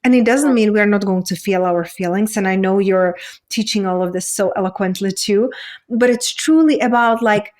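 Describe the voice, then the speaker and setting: A woman with a Czech accent talks in a reflective, personal interview style. Her pitch sits in the middle for a woman, and she speaks earnestly in the language of spiritual coaching. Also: normal speed, a little mellow. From Czech Republic. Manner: earnest, spiritual self-help phrasing. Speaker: podcast guest, women's coach.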